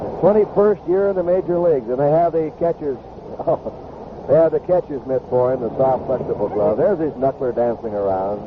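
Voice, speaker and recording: A man's voice: mid-range voice, normal speed, phone-quality sound. A man calling a baseball game live as a play-by-play announcer on an old radio broadcast. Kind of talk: live sports commentary. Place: ballpark broadcast booth. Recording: noisy band-limited vintage radio broadcast. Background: loud ambient ballpark noise.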